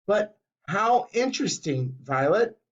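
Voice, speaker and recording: A man's voice: very animated, slow pace, exaggerated voice. A man voicing a frantic middle-aged mother who shrieks in panic, in a muffled recording. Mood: doting. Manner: shrill and panicky.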